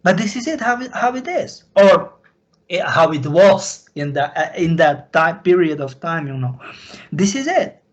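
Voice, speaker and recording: A man from Slovakia with a Slovak accent, a native Slovak speaker, talking off the cuff and confessionally in halting, repetitive, broken phrases. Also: fairly high pitch, big pitch swings. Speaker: recurring guest on a question-and-answer show. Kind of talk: unscripted, confessional talk.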